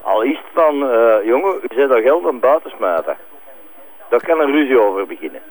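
An actor playing a blunt, needling Flemish police investigator in a crime drama, direct and insistent. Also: male voice, medium pitch, expressive, brisk, lightly acted, low-quality recording. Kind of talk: naturalistic TV crime drama dialogue.

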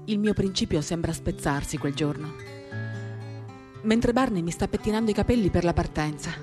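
A woman gives an expressive, lyrical dramatic reading of a first-person literary story, shifting in intensity as she tells it. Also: on the low side, normal speed. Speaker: reader of a literary work. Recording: acoustic guitar music accompanying the voice. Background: acoustic guitar.

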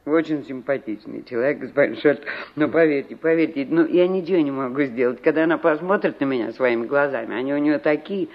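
An actress playing a middle-aged, complaining village aunt in a 1960s radio play, emphatic and full of rhetorical questions. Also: female voice, sits low, quick pace. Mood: fretful. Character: exasperated village aunt fretting over her stubborn niece. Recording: dull vintage radio recording.

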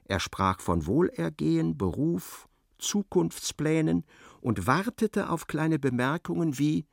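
A man narrating a literary radio play in a measured, dryly ironic way. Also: fairly high pitch, animated, creaky, no room sound, studio quality, heavily acted.

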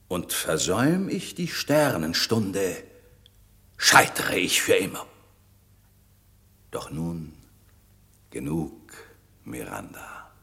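Radio play casting: stern sorcerer master, slow and theatrical, with wide swings of pitch. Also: male voice, creaky, bright, forceful tone, full character voice. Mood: grave, intent, then hushed, hypnotic. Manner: solemn, imperious, deliberate. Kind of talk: classic German radio drama, theatrical verse delivery.

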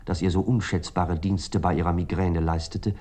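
An actor playing a reflective, ironic literary narrator in a radio play, speaking in measured, articulate, long sentences. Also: male voice, low voice, steady tone, mellow. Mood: measured, gently ironic.

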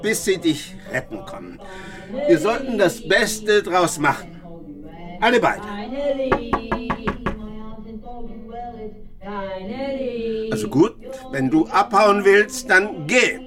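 A grumpy, reclusive old grandfather. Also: high voice. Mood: exasperated, angry. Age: older. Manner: sardonic, brooding, dramatic. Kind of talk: German radio drama acting, dialogue and inner monologue.

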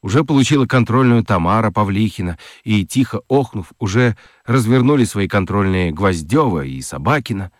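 A man narrates a children's story in a theatrical, expressive way. His voice is on the low side, with lively intonation and a dry, close sound. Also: moderate pace, few pauses, dry recording.

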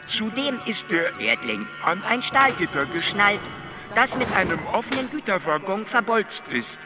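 A male actor playing a calm, detached alien who explains things matter-of-factly in a radio drama, at times stuttering on syllables. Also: high voice, moderate pace. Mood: detached, explanatory. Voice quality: stuttering, glitchy repeated syllables. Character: detached, matter-of-fact stammering alien being. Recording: music under the voice. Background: music.

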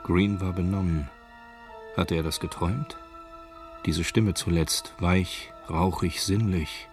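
A man's voice: low voice, steady tone, slow pace, soft background music. A man narrating a crime story in a scripted radio drama. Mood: measured storytelling.

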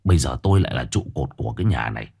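A man voicing a gruff, scheming middle-aged village man who mutters in a low voice. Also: wildly swooping pitch, a little fast, exaggerated voice.

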